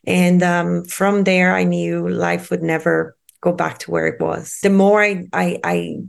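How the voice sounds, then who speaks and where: A woman's voice: lowish voice, unhurried, creaky. A woman from Brazil, telling her story as a guest in a chatty podcast interview, with plenty of "you know" and "whatnot". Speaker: guest, sustainability strategist.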